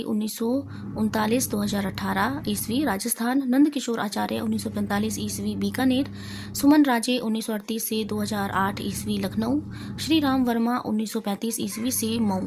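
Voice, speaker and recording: A woman teacher reads out exam revision questions, answers and lists, reciting deliberately item by item. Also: a little high. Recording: clearly noisy amateur recording.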